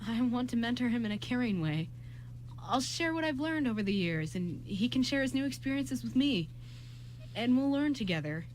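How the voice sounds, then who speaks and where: A woman voicing an earnest, kind young man in an amateur radio play, with halting delivery. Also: fairly high pitch, animated, slight background noise, lightly acted, rough recording. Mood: earnest, pleading.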